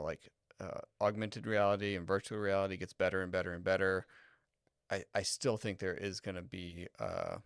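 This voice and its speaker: Deep, creaky-voiced man thinking aloud. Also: no room sound, clean studio sound. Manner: halting, searching for words. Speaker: podcast co-host. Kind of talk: reflective casual discussion.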